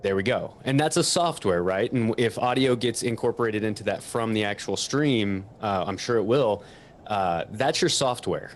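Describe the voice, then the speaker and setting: A man's voice: lowish voice, expressive, normal speed, a little hiss or hum. A man giving an informal technical explanation as a guest equipment expert.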